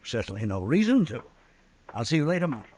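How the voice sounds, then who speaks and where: A man's voice: medium pitch, sing-song, fast, a little mellow, husky. An actor playing an evasive, self-righteous crooked businessman in a 1950s radio western.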